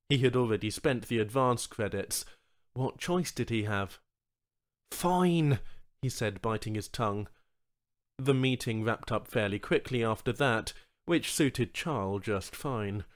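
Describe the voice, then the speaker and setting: A man's voice: on the low side, measured pace. A man reading a scripted story as an audiobook narrator.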